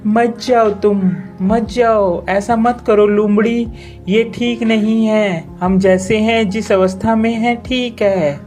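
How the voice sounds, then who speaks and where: A woman's voice: fairly high pitch, steady tone, takes it slowly, darkish tone, faint music behind, voice pushed up. A woman voicing a timid, lonely male rabbit in a cartoon story, speaking in an anxious, plaintive manner. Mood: anxious urging. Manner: anxious, plaintive, repeating refusals.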